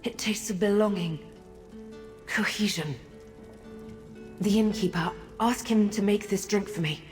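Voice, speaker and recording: Actress as a haunted, echoing goddess, slow and faltering. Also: female voice, medium pitch, a little mellow, close to an everyday voice. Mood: haunted, pleading. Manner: halting, faltering, cryptic. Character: disoriented Daedric prince goddess, haunted, fragile, pleading. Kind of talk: scripted video game character acting. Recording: long reverb, music behind.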